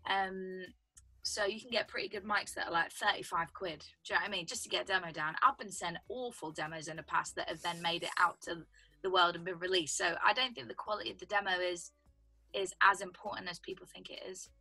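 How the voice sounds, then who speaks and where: A young British woman with a husky voice, a songwriter guest chatting casually over a video call from home. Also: on the low side, brisk, pushed and bright, faint music behind, poor audio. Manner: chatty, explains with lots of "like" and "do you know what I mean". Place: home room indoors. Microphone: video call webcam.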